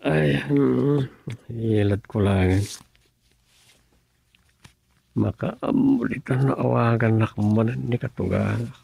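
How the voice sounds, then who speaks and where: An actor playing a put-upon husband in an Ilocano radio comedy drama, with an Ilocano Filipino accent and a gravelly, husky voice. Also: male voice, mid-range voice, measured pace, mellow, exaggerated voice. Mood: musing, grumbling.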